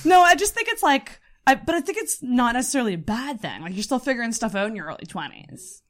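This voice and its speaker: A woman's voice: fairly high pitch, fast talker. A woman, hosting a podcast in casual, chatty conversation. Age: 30s. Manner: chatty, animated, laughs often. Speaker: podcast host. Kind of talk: casual chat.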